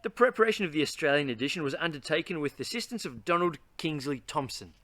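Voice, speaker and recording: A man's voice: on the high side, expressive, fast talker, background noise. A man hosting a read-aloud and giving tips on reading stories, speaking in a theatrical, enthusiastic way. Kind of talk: animated read-aloud and instructional talk.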